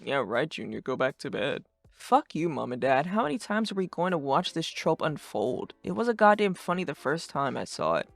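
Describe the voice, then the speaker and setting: A performer voicing a brash, sarcastic, too-cool teenage boy who rants and swears with deadpan cool in a fan-dubbed comic. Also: medium pitch, moderate pace, gravelly, no room sound. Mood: scornful ranting. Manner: foul-mouthed, ranting, deadpan cool. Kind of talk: fandub of a webcomic chat. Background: music.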